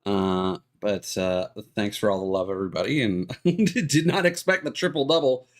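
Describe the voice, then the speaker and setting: A man's voice: on the low side, fairly even intonation, normal speed. A man livestreaming a video game and chatting casually with viewers, hesitant and rambling, often trailing off. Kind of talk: casual chat with stream viewers.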